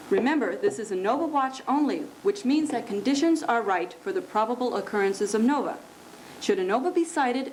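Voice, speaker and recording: A woman playing a straight-faced news and weather anchor in a parody newscast, reading in a deadpan, official announcer manner. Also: mid-range voice, close to an everyday voice. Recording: noisy amateur 1970s recording.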